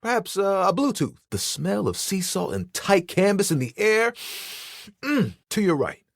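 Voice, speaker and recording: A man playing an easygoing, proud and sentimental living towel in a video game, with a husky, expressive and earnest delivery. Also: sits high, unhurried, dry recording, studio quality, exaggerated voice. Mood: dreamy. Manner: laid-back, expressive, earnest, playfully boastful. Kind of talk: video game character dialogue.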